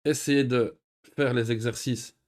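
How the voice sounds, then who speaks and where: A man teaching an Arabic lesson in French. He reads each Arabic phrase and then explains it patiently, with pauses and fillers. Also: medium pitch, steady tone, darkish tone. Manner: patient, repeats words slowly with pauses and fillers. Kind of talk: recorded online lesson.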